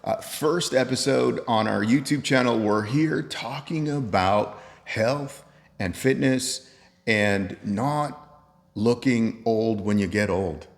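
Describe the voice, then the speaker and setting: Male host, 50s, mid-pitched, conversational and motivational, recorded with long room reverb. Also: takes it slowly, gravelly. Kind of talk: casual chat to camera.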